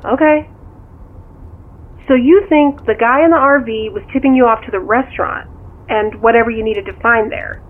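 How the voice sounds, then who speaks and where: An inquisitive confidante on a tinny phone line. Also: female voice, fairly high pitch, moderate pace. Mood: curious. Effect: phone call filter. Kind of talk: naturalistic audio drama dialogue.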